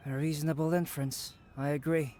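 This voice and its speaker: A man's voice: on the high side, level tone, brisk, dark tone, natural voice. A man voicing a dry, cool-headed young man in a video game, world-weary and matter-of-fact. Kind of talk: video game cutscene voice acting. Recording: music under the voice.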